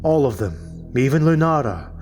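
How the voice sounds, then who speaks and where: A man's voice: mid-range voice, very animated, normal speed, music in the background. A man narrating a fantasy audiobook in a dramatic, scripted storytelling style.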